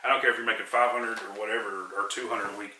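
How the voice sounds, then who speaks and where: A man's voice: on the low side, steady tone, quick pace, sharp tone, roomy sound, recorded from a distance, slight background noise, poor audio. A man interviewing a guest casually, repeating affirmations and encouraging him.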